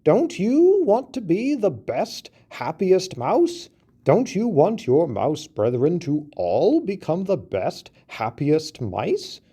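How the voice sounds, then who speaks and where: A man's voice: a little high, sing-song, takes it slowly, mellow, slightly rough, voice pushed up, exaggerated voice. A man voicing a well-meaning male elephant who explains himself patiently and reasonably. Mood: persuasive.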